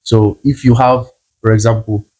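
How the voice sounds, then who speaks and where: A man from the Brazil–Paraguay–Argentina border region, a guest on a podcast, talking in a relaxed, repetitive way. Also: on the low side, moderate pace, low-quality recording. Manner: relaxed, repeats phrases, agreeable. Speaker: guest, content creator and language teacher. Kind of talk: casual interview.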